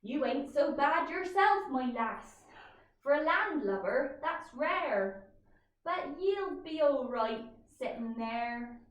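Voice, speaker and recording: Woman playing a high-voiced, jolly young pirate boy. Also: animated, slow. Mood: friendly, cheeky. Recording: echoey room, distant mic.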